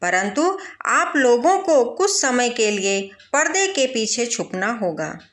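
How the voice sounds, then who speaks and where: Woman as shrewd male court advisor, storybook, composed, commanding, slow, lively intonation. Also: a little high, echoey room, full character voice. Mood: calm command. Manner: calm, commanding. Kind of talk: storybook character voice.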